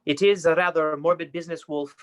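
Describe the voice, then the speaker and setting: An actor playing a deferential, uneasy young medical assistant in a radio play, speaking politely and a little hesitantly. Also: male voice, fairly high pitch, fairly even intonation, fairly quick, close to an everyday voice. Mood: dutiful.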